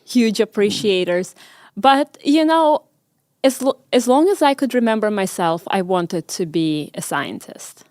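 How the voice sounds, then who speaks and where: Russian-accented woman, smooth clear voice. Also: medium pitch, expressive, a little slow, a little mellow, no room sound, clean studio sound. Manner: hesitant, many ums, storytelling. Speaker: guest, medical researcher. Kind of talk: conversational interview.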